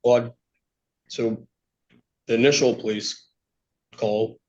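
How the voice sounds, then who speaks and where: A man giving unscripted testimony to a judge, speaking in a halting, polite way. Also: mid-range voice, steady tone, a little mellow. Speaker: petitioner testifying in court. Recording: dull, lo-fi courtroom audio.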